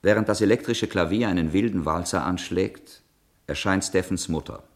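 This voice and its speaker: A man's voice: deep voice, moderate pace, natural voice. A man announcing scenes in a radio drama with formal, scripted, synopsis-like narration.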